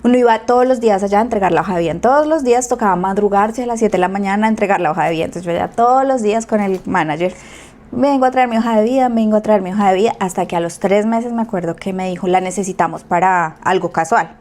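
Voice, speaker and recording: A woman in her thirties from Colombia with a Colombian accent, a guest telling her story in casual chat, animated and acting out what people said. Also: mid-range voice, quick pace. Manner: animated, acts out quoted speech, laughs easily.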